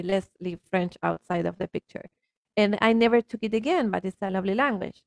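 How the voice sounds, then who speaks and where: Casting: Argentine-accented woman, native Spanish speaker, earnest coaching talk, mid pitch, gravelly fry, dry close sound. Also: dry recording. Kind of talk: reflective coaching conversation.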